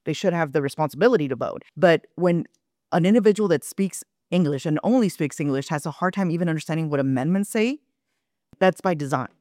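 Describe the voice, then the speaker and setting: A woman's voice: sits low, expressive, a little fast, dark tone, dry recording, studio quality. A woman speaking earnestly on a panel discussion, explaining at length with quoted asides. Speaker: panel guest, community organizer.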